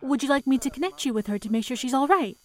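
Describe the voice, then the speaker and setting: Actress as high-voiced, anxious young telephone operator. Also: female voice, expressive, quick pace. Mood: sympathetic. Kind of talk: 1940s radio drama acting.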